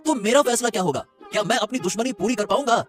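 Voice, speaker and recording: A man's voice: high-pitched, animated, fast. A man voicing a vengeful, taunting relative in a Hindi family cartoon, needling and repeating himself. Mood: brooding. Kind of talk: Hindi moral-story cartoon voice acting. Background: music.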